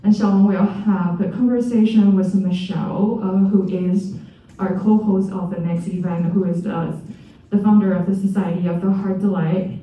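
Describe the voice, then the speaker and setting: A woman's voice: medium pitch, fairly even intonation, normal speed. A woman hosting an event, reading out announcements and introducing a speaker in a hesitant way with many fillers. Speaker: event host. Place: event hall. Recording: echoey hall, from far off, dull sound.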